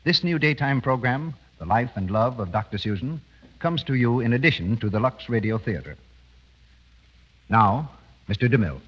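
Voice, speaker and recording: A man's voice: mid-range voice, animated, normal speed, husky, long reverb tail. A man working as a radio announcer, reading a script in 1930s broadcast style on an old muffled recording.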